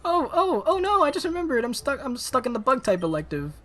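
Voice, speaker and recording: A bubbly, scatterbrained teenage girl played by a man, pitched up high. She sounds flustered and apologetic, like a visual novel game character, with faint music behind. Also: huge pitch swings, quick pace, fairly bright tone, exaggerated voice. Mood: flustered, eager.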